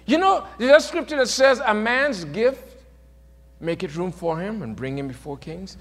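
High-voiced man preaching in a hall. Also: expressive, normal speed. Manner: swings from soft to shouted, rising to emphatic peaks. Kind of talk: sermon.

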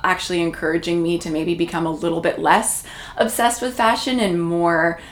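A woman's voice: on the low side, steady tone, moderate pace. A woman from Canada, a guest in an interview, talking in a reflective, chatty way with many fillers. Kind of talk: conversational interview. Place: room in Toronto.

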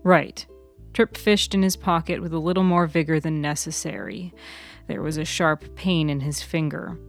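A woman's voice: low voice, moderate pace, gravelly, soft background music. A woman reading scripted fiction as the narrator of an audio story. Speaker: author and narrator.

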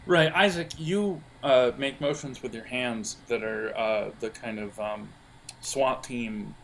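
A man narrating an audio role-playing game as game master, halting as he thinks aloud. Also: medium pitch, a little hiss or hum, rough recording.

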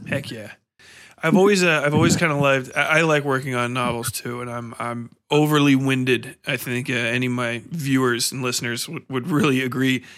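A man interviews a guest for a podcast in a loose, chatty style. His voice is bright and forward at an ordinary male pitch. He is encouraging and rambles a little as he talks. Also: steady tone, moderate pace. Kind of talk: casual conversational interviewing.

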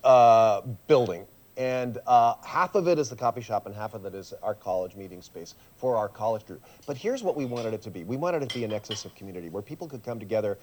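A middle-aged man, a pastor, chatting in a casual interview in a church coffee shop, earnest when he explains and quick to laugh. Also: on the low side, animated, darkish tone, slight background noise. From USA.

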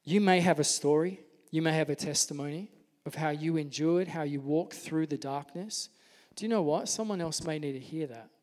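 Male pastor, soft-spoken and earnest, slightly raised pitch; sermon in a reverberant hall. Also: normal speed. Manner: earnest, gentle preaching.

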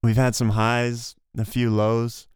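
A man's voice: mid-range voice, monotone, slow, smooth, dry recording, clean studio sound. A man co-hosting a podcast in a casual, conversational style. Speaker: podcast co-host.

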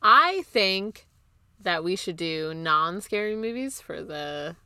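Female co-host, slightly low and bright-edged, for casual, blunt movie talk with offhand swearing. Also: sing-song, takes it slowly, smooth, no echo. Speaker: podcast co-host. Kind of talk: casual film discussion podcast chat.

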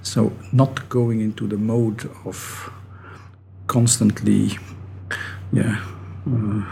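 A middle-aged man, a native Dutch speaker with a Dutch accent, giving thoughtful, halting answers as an academic guest in an interview. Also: fairly low pitch, level tone, gravelly, darkish tone, a little hiss or hum. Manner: thoughtful, halting, often restarting phrases. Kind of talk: reflective interview talk.

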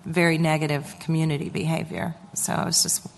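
Council member, woman, low monotone, slow and searching, echoing room. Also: vocal fry, slight background noise. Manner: slow, searching, thinking aloud. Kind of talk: remarks at a public meeting.

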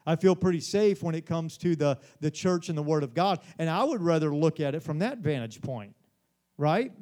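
A man in his forties preaches at a midweek Bible study, with a notably high voice. He talks earnestly to the congregation, often repeating words and checking in with them. His delivery is sincere and conversational, not polished. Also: expressive, moderate pace, darkish tone. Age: 40s. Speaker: pastor.

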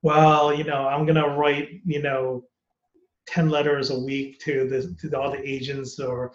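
A man's voice: mid-range voice, level tone, unhurried, echoey room, muffled recording. A man, an actor, talking candidly and casually on a podcast, rambling and laughing often. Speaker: actor guest.

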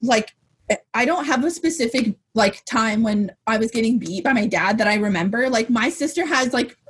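Young woman, podcast co-host, loud husky voice, highish pitch, candid casual chat, rambling, sweary. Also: animated, normal speed. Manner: talkative, rambling, frank, swears freely.

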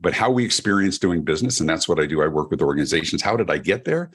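A middle-aged man from Denver with a deep voice, telling his story as a guest on an interview show. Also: racing, breathy edge. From Denver, Colorado, USA. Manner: animated storyteller, chatty with restarts. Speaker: keynote speaker and guest. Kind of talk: podcast interview.

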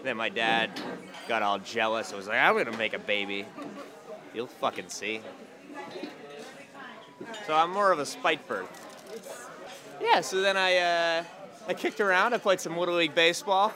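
A man's voice: on the high side, moderate pace, pauses often. A man in his late twenties from Massachusetts, a stand-up comedian, chatting casually over breakfast with dry, joking asides. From Massachusetts, USA. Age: 20s. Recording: noisy crowded diner. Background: crowd chatter.

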